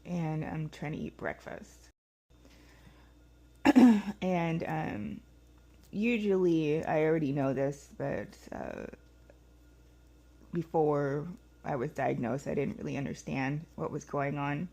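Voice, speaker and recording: A woman in her thirties with a hoarse voice, rambling casually through a day-in-the-life vlog at home, with many ums and restarts. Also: deep voice, steady tone, slow, long pauses, mellow. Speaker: vlogger. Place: at home, kitchen and house.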